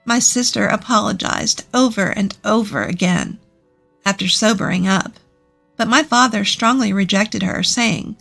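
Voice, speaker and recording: A woman's voice: mid-range voice, a little slow, gravelly, fairly bright tone, faint music behind. A woman reading a scripted first-person story as its narrator.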